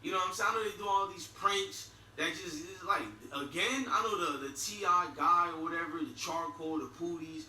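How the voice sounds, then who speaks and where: A middle-aged man with a Haitian accent rants bluntly and profanely, his voice piercingly bright and sharp. He sounds echoey and set back from the mic. A faint room hum sits underneath. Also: high-pitched, a little fast, few pauses, recorded from a distance. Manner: blunt and profane, mocking laughs. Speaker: YouTube commentator. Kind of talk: ranting video commentary.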